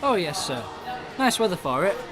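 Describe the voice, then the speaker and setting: A man plays an obliging, talkative barman in a radio drama. His voice is high and bounces up and down, with a busy pub crowd around him. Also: big pitch swings, normal speed, over music, poor audio. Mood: chatty. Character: chatty, obliging, cheerful male pub barman. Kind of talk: radio play acting.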